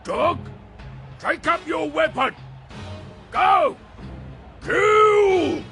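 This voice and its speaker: Gravelly arrogant warlord, forceful and harsh, slow menacing words, theatrical bellowing, audio drama, music behind. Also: male voice, very high voice, animated, full character voice. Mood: furious. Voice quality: gravelly, snarling. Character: brutish, arrogant warlord, hot-tempered and menacing.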